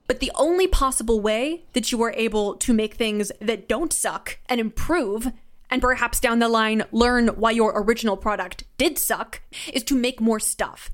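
A young woman chatting casually and emphatically as an enthusiastic interview guest. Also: on the high side. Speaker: podcast guest, audio drama writer and sound designer.

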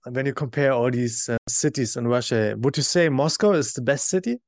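A man hosting a podcast, asking questions in a steady, chatty way. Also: mid-range voice, fairly quick, no echo, clean studio sound. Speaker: podcast host. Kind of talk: interview questions.

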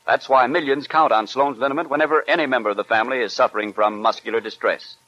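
Casting: male commercial announcer, medium pitch, scripted 1940s radio advertising style. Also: fast, no room sound, dull audio.

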